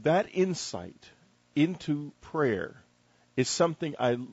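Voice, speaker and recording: An older man hosting an interview show, talking conversationally with deliberate, emphatic phrasing. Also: a little high, big pitch swings, a little mellow, husky, dry recording, highs cut off. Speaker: radio show host interviewing a guest.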